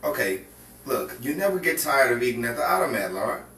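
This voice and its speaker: An actor playing a scheming, smooth-talking young hoodlum who pushes his girlfriend, in 1950s radio crime drama style. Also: mid-range voice, very animated, a little fast, pushed and bright. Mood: insistent, restless. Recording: roomy, distant mic with faint noise.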